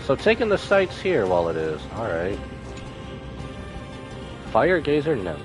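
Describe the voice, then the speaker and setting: A man's voice: mid-range voice, huge pitch swings, measured pace, over music. A man giving casual live gameplay commentary, muttering questions to himself as he goes. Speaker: game streamer.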